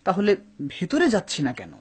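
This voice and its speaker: A man's voice: high voice, huge pitch swings, normal speed, slight background noise. A man playing an eager, impatient young son in a dubbed cartoon, earnest and dutiful.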